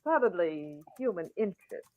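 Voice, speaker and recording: A woman's voice: fairly low pitch, sing-song, normal speed, slight background noise. A woman acting a worldly, teasing newspaper woman with a wisecracking manner, in vintage radio-drama style. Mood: sardonic, teasing. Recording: thin phone-like old radio recording.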